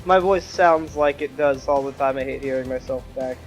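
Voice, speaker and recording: A young man hosting a casual gaming stream, joking and self-mocking, with a reasonably high-pitched voice, talking into a home desktop mic. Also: moderate pace. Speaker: stream host. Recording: background music.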